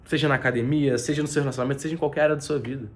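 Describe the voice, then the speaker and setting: A man's voice: mid-range voice, fairly even intonation, very fast. Earnest man with a stuffy head cold. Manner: earnest, repeats himself, many fillers and restarts. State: nasal and congested from a cold. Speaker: business mentor. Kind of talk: casual faith-based motivational talk to camera. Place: spot with a view over the city.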